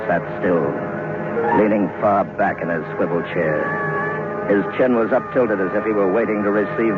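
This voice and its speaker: An actor playing a grave narrator of a radio horror tale, measured, solemn and ominous. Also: male voice, fairly low pitch, natural voice. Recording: narrow-band old radio recording, loud music.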